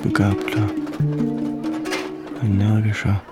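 A man's voice: on the low side, monotone, slow, over loud music. An actor playing an educated, earnest Armenian man in a radio play, brooding and pensive, with a troubled intensity. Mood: brooding, agitated.

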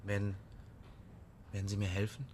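An actor as a bitter, lowish-voiced young killer. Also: male voice, completely flat delivery, heavy background noise, lightly acted. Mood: shaken. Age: young adult. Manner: tense, wounded, halting when shaken. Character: bitter, unstable young male killer with a gun. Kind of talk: radio play acting.

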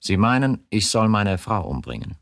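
An actor in a radio drama playing a troubled, probing chief of criminal police who questions insistently. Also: male voice, lowish voice, very animated, normal speed, creaky, no echo, clean studio sound. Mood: uneasy. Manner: interrogating, insistent short questions.

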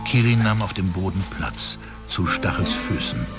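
A man's voice: low-pitched, normal speed. A man narrating a radio play in a dramatic, scripted storytelling style. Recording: loud music behind the voice.

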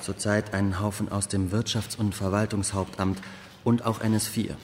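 A man's voice: on the low side, level tone, a little mellow, lightly acted. Man acting formal archive clerk in echoing hall. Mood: wry, courteous. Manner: formal, wry, measured. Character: fussy, formal, gossipy male archive clerk. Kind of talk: German radio drama acting. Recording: long hall reverb, faint room noise.

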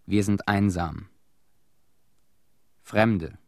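An actor playing an embittered, ailing young Soviet disaster veteran in a radio play, giving sombre testimony in terse, short sentences. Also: male voice, on the low side, extremely slow, gravelly, darkish tone, no echo, studio quality, heavily acted. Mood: resigned, bleak.

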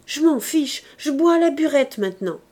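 A woman's voice: sits high, animated, moderate pace, warm tone, pitched above the performer's own voice. Woman doing a naive slovenly young maid. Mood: artless. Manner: artless, earnest chatter.

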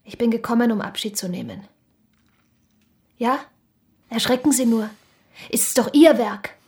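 An actress playing a proud young shopkeeper's daughter in a radio drama, blunt and reproachful, sometimes dropping to a hushed murmur. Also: female voice, fairly high pitch, lively intonation, measured pace. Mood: bitter, grieving.

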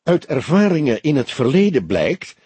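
A husky old male detective, voiced by an audiobook reader, patient and probing. He speaks in measured questions with dry mockery and a lively, varied pitch, recorded close and dry. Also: no echo, exaggerated voice. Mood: solemn. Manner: measured, probing, dryly mocking. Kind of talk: audiobook character dialogue.

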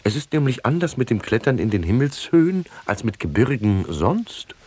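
An actor playing a footsore, grumbling master in a comic radio drama, with a rough voice and expansive, emphatic delivery. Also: male voice, mid-range voice, big pitch swings, moderate pace, a little mellow. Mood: weary complaint. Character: footsore, grumbling master back from a journey to the heavens.

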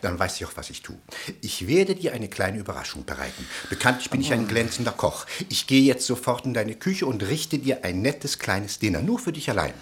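A boastful bon vivant in a radio play, with widely swinging, animated pitch. He is breezy and insistent, every phrase delivered with theatrical exuberance. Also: brisk, slight background noise. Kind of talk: German radio play acting.

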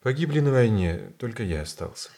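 An actor playing a polite, hesitant circus man in a radio play, deferential and uneasy, with a husky voice. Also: lowish voice, expressive, fairly quick, dark tone. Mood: reserved. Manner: hesitant, apologetic, courteous. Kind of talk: theatrical radio play acting.

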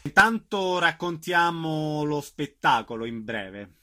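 A man's voice: on the high side, animated, takes it slowly, sharp tone. A man hosting a radio interview, giving prepared introductions and putting questions to a guest.

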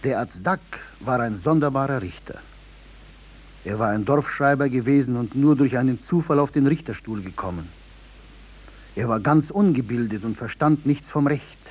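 An actor as the narrator of a 1950s German radio play, telling a parable in a measured, explanatory way. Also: male voice, mid-range voice, a little mellow, slightly rough, lightly acted. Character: calm storyteller narrating a folk parable.

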